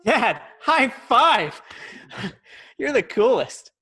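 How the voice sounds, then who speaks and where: Man reading excitable young boy, slow-paced, wide pitch swings, table read. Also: husky, heavily acted. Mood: excited. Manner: eager, excitable kid delivery. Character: eager young boy wanting to learn kung fu.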